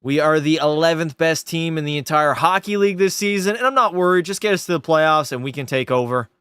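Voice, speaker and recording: A man's voice: fairly high pitch, quick pace, fairly bright tone, clean tone, no room sound. A young man from Canada, casually chatting and swearing while live-streaming a hockey game and answering chat. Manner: loose, profane banter, reading chat aloud. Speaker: gaming streamer.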